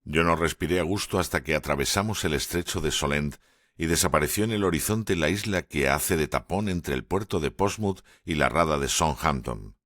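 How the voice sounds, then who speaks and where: A male audiobook narrator with a very deep, low voice reads in a measured, steady way. The recording is dry and close, with no room sound. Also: no room sound. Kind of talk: scripted first-person narration.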